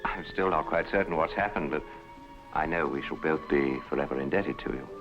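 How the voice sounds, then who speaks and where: A man's voice: rumbling low, a little fast, over music. A man playing a proud, formal aristocratic husband in an old radio-style drama. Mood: bewildered, formal. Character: proud, stiff aristocratic husband, an English lord. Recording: dull vintage radio recording.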